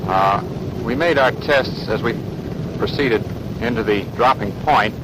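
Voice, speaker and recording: American male naval officer, factual and measured, noisy 1940s outdoor interview recording. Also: medium pitch. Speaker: navy officer. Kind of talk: formal interview account.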